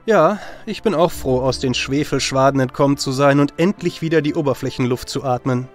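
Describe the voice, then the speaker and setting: A man playing a noble, repentant warrior hero in a fantasy audio drama, speaking earnestly and with measured solemnity. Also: medium pitch, soft background music, close to an everyday voice. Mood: generous.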